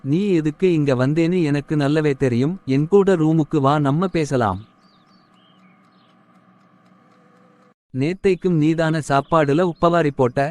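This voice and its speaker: A man voicing a stern husband who moralizes in an animated family short. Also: on the high side, moderate pace, no room sound, natural voice. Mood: accusing, stern. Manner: moralizing, instructive. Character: stern, preachy husband admonishing his jealous wife.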